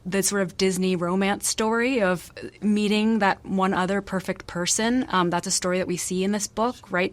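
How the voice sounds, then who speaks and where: Thoughtful woman author, soft low voice. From Malaysia. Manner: thoughtful, many fillers and restarts. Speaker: author guest. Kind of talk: reflective interview answers.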